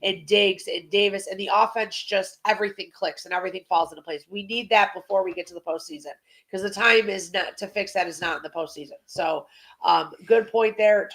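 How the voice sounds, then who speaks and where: A woman's voice: mid-range voice, low-quality recording. A woman hosting a casual football preview podcast, chatting and asking questions, with a slightly congested voice. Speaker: sports podcast host.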